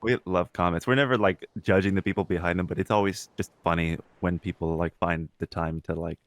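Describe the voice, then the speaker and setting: A man's voice: deep voice, fairly even intonation, fairly quick, no echo. A young man co-hosting a podcast, chatting informally and swearing casually. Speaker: podcast co-host; substitute teacher.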